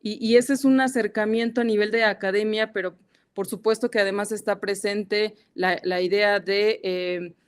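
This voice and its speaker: A woman's voice: mid-range voice, fairly even intonation, moderate pace, smooth. A woman with a Mexican accent giving an academic panel talk over a video call, hesitant and full of fillers and restarts. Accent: Mexican Spanish. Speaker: guest academic speaker.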